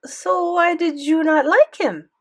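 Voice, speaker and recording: A woman's voice: very high voice, takes it slowly, voice pushed up, exaggerated voice. A woman voicing an old French grandmother, doting yet commanding, with a thick French accent in an audiobook. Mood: puzzled, probing. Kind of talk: audiobook character dialogue.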